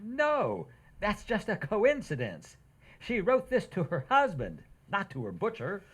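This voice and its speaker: An indignant but devoted husband from an old-time radio comedy played by a man. The voice is husky and rough-edged, and the pitch swings wildly as he protests. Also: wildly swooping pitch, measured pace, fairly bright tone, exaggerated voice.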